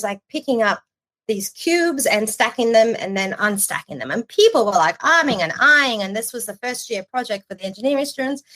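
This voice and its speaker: A woman in her forties, from Iran, telling her story as a podcast guest, lively and quick to restart her sentences. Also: medium pitch. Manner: animated storytelling with restarts and casual swearing. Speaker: podcast guest, agency creative chief. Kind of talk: casual interview chat.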